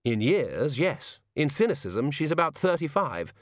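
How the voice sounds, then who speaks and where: A man voicing a sharp, indignant woman with a sardonic, articulate and exasperated way of talking. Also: a little high, sing-song, unhurried, dry recording, clean studio sound, pitched above the performer's own voice.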